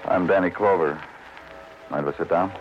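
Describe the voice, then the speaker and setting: A man playing a weary, hardboiled police detective in an old radio noir drama, narrating in a terse, deadpan, poetic way. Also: deep voice, normal speed, over a noisy crowd, close to an everyday voice. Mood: terse, probing. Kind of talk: 1950s radio noir acting, brooding narration. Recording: muffled old radio recording with music.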